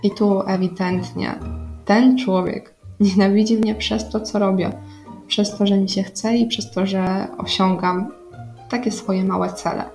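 A woman's voice: mid-range voice, steady tone, unhurried, darkish tone. A young woman hosting a radio segment as a personal, diary-style monologue, speaking directly to listeners as if confiding in them. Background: background music.

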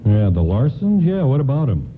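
Male actor as friendly store doorman, radio play. Folksy, earnest, lively pitch swings, muffled broadcast with faint noise. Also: moderate pace, close to an everyday voice.

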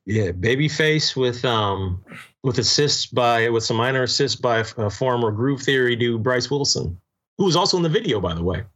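A man co-hosting a casual music podcast, telling stories with emphatic, drawn-out points. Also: medium pitch, expressive, a bit bright. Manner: emphatic, drawn-out listing, storytelling. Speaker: podcast co-host. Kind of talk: casual chat.

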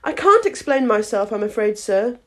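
A woman's voice: medium pitch, very animated, moderate pace, close to an everyday voice. A woman voicing a shy, polite and confused young girl from a storybook, explaining herself earnestly.

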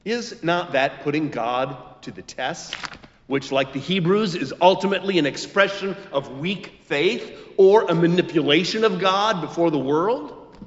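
A man preaching a scripted sermon as a pastor, with measured, emphatic phrasing and deliberate pauses. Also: on the high side. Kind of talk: scripted expository sermon with quotations. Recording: muffled recording in a reverberant hall.

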